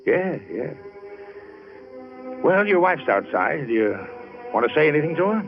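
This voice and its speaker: An actor playing a calm, probing police detective in a 1940s radio drama. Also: male voice, on the high side, measured pace, faint music behind. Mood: dry, probing. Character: police detective calmly drawing out a confession. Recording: muffled old radio recording.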